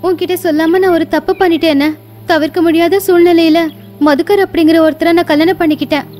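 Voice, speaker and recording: A woman voicing an earnest, devoted young bride and sister in an animated bedtime story, speaking in a sincere and emphatic way. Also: very high voice, fast talker, faint music behind, pitched above the performer's own voice. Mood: confiding.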